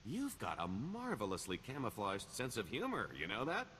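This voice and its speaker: A man's voice: mid-range voice, huge pitch swings, moderate pace, a bit bright, slight background noise. A man playing a roguish middle-aged career criminal in a video game, wry and boastful, with a wheedling, theatrical sarcasm. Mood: wry, weary.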